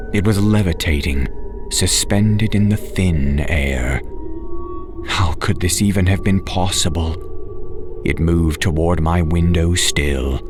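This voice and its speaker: A man narrating a scripted first-person horror audiobook in a measured, dramatic way. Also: deep voice.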